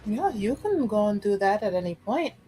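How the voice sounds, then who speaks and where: A woman with a lively, swooping delivery improvises casually in a tabletop roleplaying session. She is chatty and playful, and music plays faintly underneath. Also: medium pitch, moderate pace. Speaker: player in a tabletop roleplaying game show.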